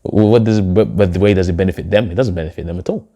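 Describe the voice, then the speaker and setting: A young man from Denmark, a poet, giving reflective, measured interview answers. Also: deep voice, warm tone. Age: young adult. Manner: reflective, measured, with filler phrases. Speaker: poet and guest. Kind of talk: spoken word poem, then interview answers.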